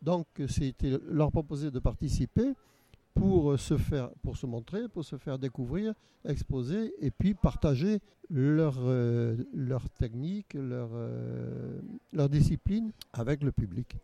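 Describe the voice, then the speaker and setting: An older man from Nice with a deep, gravelly voice, very dark and mellow in tone. He speaks haltingly, circling back and repeating himself, and keeps tagging on a little "hein". The sound is close and dry. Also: lively intonation, no echo. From Nice, France. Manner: hesitant, frequent repeats and tag "hein". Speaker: exhibition organizer. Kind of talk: casual interview answers.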